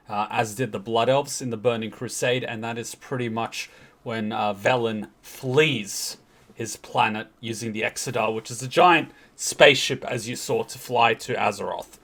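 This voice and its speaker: A man's voice: lowish voice, fairly bright tone. A man hosting a video, giving casual explanatory commentary and often hesitating as he searches for words. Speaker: video host.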